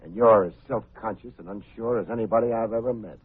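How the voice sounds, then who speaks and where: An actor playing a wry, hard-boiled private detective in an old-time radio drama, speaking in a clipped, sardonic way. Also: male voice, lowish voice, fast, breathy edge. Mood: needling. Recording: muffled, phone-like old radio recording with faint music.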